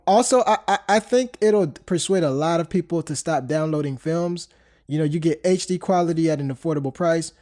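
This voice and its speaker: A man's voice: a little high, a little mellow. A man in his thirties hosting a podcast, stuffy from a cold, chatting loosely with many fillers and restarts. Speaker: podcast host. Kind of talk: casual podcast chat.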